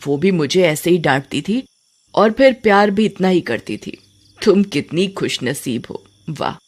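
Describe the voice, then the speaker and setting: A woman's voice: fairly low pitch, lively intonation. A woman voicing a cackling witch in a horror storybook tale, teasing and protective but menacing to bullies, over music. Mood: amused, indulgent. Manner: gloating, drawn-out threats, frequent laughing.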